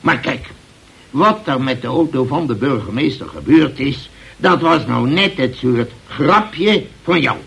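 An actor in an old radio drama playing a grumbling, blustering older boss, gruff but fair, who hesitates and interrupts. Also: male voice, medium pitch, animated, unhurried, a bit bright, heavily acted. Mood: grudging, blustering. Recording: old radio play recording.

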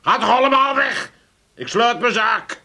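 Sharp-voiced, grumbling Amsterdam pub landlord. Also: male voice, extremely high pitch, unhurried, heavily acted. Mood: angry, irritated. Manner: grumbling, self-pitying, snapping. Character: gloomy, touchy, quarrelsome male Amsterdam pub landlord. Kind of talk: comic radio drama acting.

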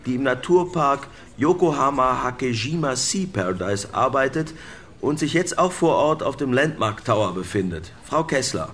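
An actor playing a composed news anchor in a radio drama, asking questions in a formal, measured, polite way. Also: male voice, mid-range voice, lively intonation, a bit bright, close to an everyday voice. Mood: serious, inquiring. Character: composed male news anchor questioning experts on a monster disaster. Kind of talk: scripted radio-drama newscast interviewing.

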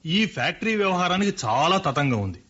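An actor playing a brisk, matter-of-fact business associate in a radio play. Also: male voice, sits high, sing-song, sharp tone, heavily acted. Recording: dull lo-fi radio recording.

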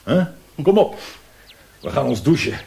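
A man's voice: medium pitch, sing-song, a little slow, creaky, warm tone. An actor in a radio drama playing a fat, red-faced colonial man who is blunt, grumbling and mocking. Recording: faint background noise and clicks.

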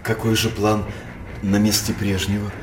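An actor playing a sly court jester in a radio stage drama, wry and fawning, with a theatrical delivery. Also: male voice, on the low side, little pitch movement, moderate pace, background noise, lightly acted. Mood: sly, teasing.

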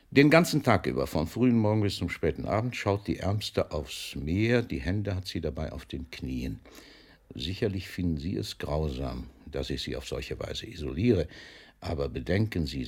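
Old poet in a radio play, deep n husky, grand and teasing, booms then goes hushed. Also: male voice, exaggerated voice. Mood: theatrical, mock-indignant. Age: older. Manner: declamatory, swings between booming outbursts and whispers. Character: older famous poet, theatrical, mocking, fatherly toward a young postman. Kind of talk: German radio drama acting.

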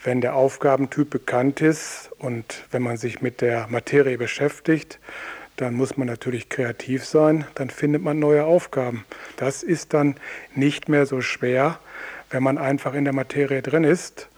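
A man, a psychologist who designs tests, answering spontaneously in an interview with halting, repetitive explanations. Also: medium pitch, a little mellow.

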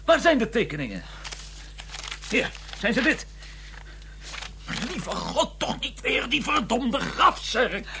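An actor playing an exasperated, dry-witted doctor who scolds in an educated, sardonic manner in a radio play. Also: male voice, very high-pitched, big pitch swings, takes it slowly, gravelly, pauses often, sharp tone, full character voice. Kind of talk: radio play acting with some narration. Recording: noisy, humming radio play recording.

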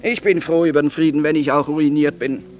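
Actress as a sharp-tongued wartime trader woman. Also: low voice, steady tone, a little fast, lightly acted. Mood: rueful. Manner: sharp-tongued, businesslike, plain folksy phrasing. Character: shrewd, blunt middle-aged female war trader and mother. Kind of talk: theatrical radio drama acting.

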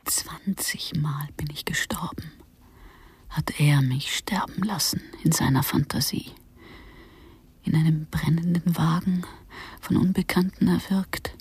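A woman acting an anxious, distraught wife in a German radio play, urgent and at times hushed. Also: on the low side, gravelly, breathy edge, exaggerated voice. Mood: haunted, hushed. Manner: emotional, urgent, drifting into hushed whispers. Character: anxious, distraught wife hiding from her husband.

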